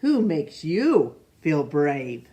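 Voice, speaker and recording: A woman reading a picture book aloud to children, expressive and pausing to ask young listeners questions. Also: low voice. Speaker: storytime reader.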